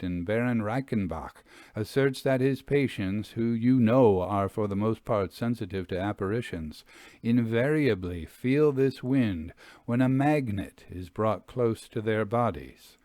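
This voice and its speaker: A man voicing an earnest, learned occult investigator in a storybook reading, pedantic and measured. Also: medium pitch, a little mellow, dry recording, studio quality, lightly acted. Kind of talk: storybook character dialogue.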